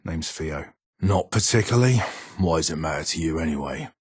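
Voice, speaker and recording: An actor playing a gruff, irritable older ghoul weapons engineer in a video game, with a British accent, a gravelly rasp and a curt, guarded manner. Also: male voice, a bass voice, lively intonation, a little fast, no room sound, studio quality, full character voice. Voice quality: raspy, gravelly. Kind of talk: video game character dialogue.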